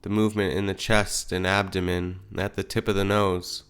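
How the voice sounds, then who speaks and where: A man leading a guided meditation as a teacher, speaking in gentle, unhurried phrases with pauses. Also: low-pitched, little pitch movement, clear, resonant tone.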